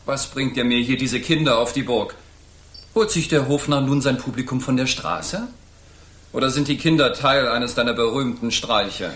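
A stern, anxious knight captain, played by a man in a radio drama for children. He has a medium-pitched voice and speaks in formal, old-fashioned courtly phrases. The sound is roomy. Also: normal speed, a bit bright, lightly acted. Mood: indignant. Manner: formal, archaic courtly diction.

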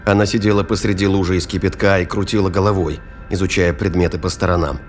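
A man reading scripted fiction narration in a measured, literary storytelling manner. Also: low voice, flat delivery, soft background music.

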